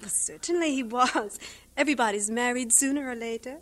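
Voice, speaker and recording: Actress as a high-voiced, homely English missionary woman. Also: a little fast, fairly bright tone, lightly acted. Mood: curious, teasing. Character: brisk, motherly English missionary schoolmistress who loves tea. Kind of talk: audio drama acting.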